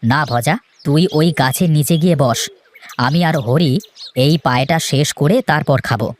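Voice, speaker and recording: A lowered, steady voice for a sensible big brother who farms, put on by a woman. He speaks firmly in a children's cartoon, with a scolding edge. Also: normal speed, slight background noise, lightly acted. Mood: firm, weary. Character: sensible, firm eldest brother, a hardworking farmer.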